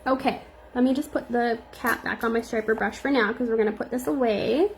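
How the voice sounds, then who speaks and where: Young woman nail educator, slightly squeaky, a bit gravelly, chatty live-tutorial delivery. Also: fairly quick, slight background noise. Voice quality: a little squeaky. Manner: chatty, reads and answers viewer comments.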